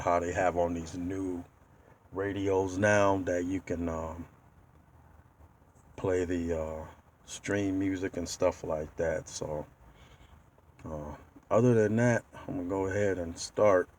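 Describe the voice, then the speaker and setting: A man with a low voice walks the viewer through a do-it-yourself job. His delivery is slow and halting, with pauses as he searches for the next word. The tone is that of a casual, informal tutorial. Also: fairly even intonation, a little mellow, slight background noise. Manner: halting, pauses mid-sentence to find words. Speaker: DIY car video host. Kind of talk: casual how-to walkthrough. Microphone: handheld tablet.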